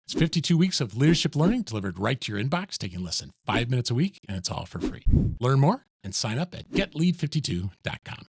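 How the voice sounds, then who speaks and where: A man's voice: mid-range voice, very animated, fast talker, breathy edge, no echo. A man hosting a podcast, giving a polished, enthusiastic introduction and asking questions. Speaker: podcast host.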